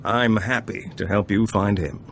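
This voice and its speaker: A suave, aging gambler and information broker in a video game. His low voice is smooth and self-satisfied, with theatrical flourishes. There is clear ambient noise behind him. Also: steady tone, unhurried, fairly bright tone. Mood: confiding. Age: older. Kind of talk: video game dialogue acting.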